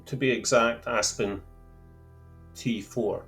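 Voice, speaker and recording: A man with a Scottish accent explaining fuel terms to camera in a careful, deliberate way, with a lightly wry touch. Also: mid-range voice, fairly even intonation, fairly bright tone, faint music behind. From Scotland. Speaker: outdoor gear channel host. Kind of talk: measured explanatory talk to camera.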